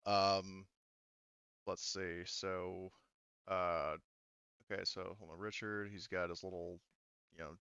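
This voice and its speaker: Man, game master, casual narration, halting, bright smooth voice, slightly muffled dry recording. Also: sits low, little pitch movement, dry recording. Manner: halting, thinking aloud.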